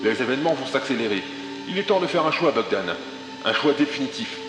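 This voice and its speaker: A man's voice: mid-range voice, expressive, brisk, lightly acted. Man acting commanding militant leader, roomy echo. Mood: menacing pressure. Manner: commanding, speechifying. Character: self-assured, controlling leader of radical political group. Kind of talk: audio drama acting. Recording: roomy echo, loud music underneath.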